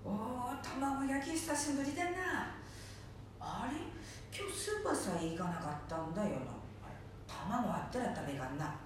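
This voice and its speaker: A woman's voice: mid-range voice, animated, moderate pace, natural voice. A woman playing a blunt, teasing old grandmother with a Nanbu dialect in an amateur radio drama. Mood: sleepy, puzzled. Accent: Nanbu dialect, northeastern Japan. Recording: very echoey, distant, faint noise.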